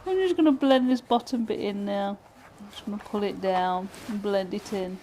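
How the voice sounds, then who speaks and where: A woman from Britain, teaching pyrography live, chatting and thinking aloud with many fillers while she works, her voice going a little hoarse. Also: mid-range voice, moderate pace, dark tone, a little hiss or hum. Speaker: pyrography instructor. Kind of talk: live tutorial chat while working.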